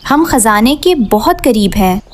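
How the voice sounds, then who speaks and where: A woman's voice: a little high, big pitch swings, measured pace. A woman playing a friendly, reassuring child in a children's cartoon adventure story.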